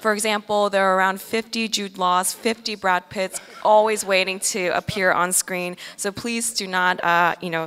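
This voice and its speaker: A woman interpreting on a cinema stage, with a measured, steady delivery and soft fillers, speaking into a handheld mic. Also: medium pitch, clear, resonant tone. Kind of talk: consecutive interpretation. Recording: echoey cinema hall.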